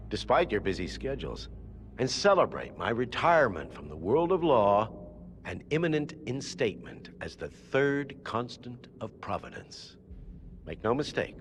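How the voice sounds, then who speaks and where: An actor playing a pompous, self-satisfied older male dignitary giving an oratorical formal speech in a video game. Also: medium pitch. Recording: music under the voice.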